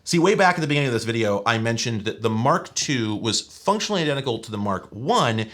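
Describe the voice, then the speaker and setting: A man's voice: medium pitch, big pitch swings, fast, a bit bright. A man hosting a scripted tech history video essay, with wry, opinionated, emphatic phrasing. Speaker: video essay host and narrator.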